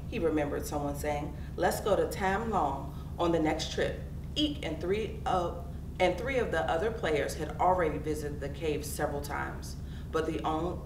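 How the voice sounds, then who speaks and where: A woman reading a nonfiction book chapter aloud for a school reading project. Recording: echoey, distant mic with clear background rumble.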